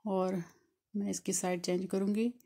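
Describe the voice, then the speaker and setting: A woman from Pakistan narrates her home cooking video step by step. Her delivery is calm and explanatory, with a mid-height voice that stays flat and even, barely rising or falling. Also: medium pitch, measured pace. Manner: calm, explanatory, walks through each step. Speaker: home cooking channel host.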